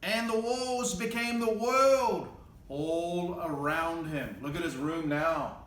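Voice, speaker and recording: A man's voice: high-pitched, slow pace, fairly bright tone, echoey room. A man reading a picture book aloud expressively, with playful, casual asides and exclamations as he goes. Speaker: storyteller.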